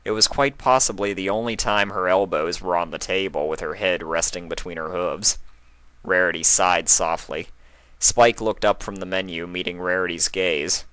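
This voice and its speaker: A man's voice: sits low, normal speed, sharp tone, clear, resonant tone, dry recording, low-quality recording. A man reading a story aloud as a scripted third-person narrator. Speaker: fan fiction reader, narrator.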